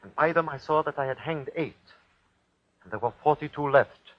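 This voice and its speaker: A man playing a cruel, haunted Nazi executioner who reports in a husky voice and obsessively repeats himself, in 1940s radio horror style. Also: fairly high pitch, normal speed, no room sound, natural voice. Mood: terrified, frantic. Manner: dramatic, haunted first-person reporting with obsessive repetition. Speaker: actor. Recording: dull, lo-fi old radio recording.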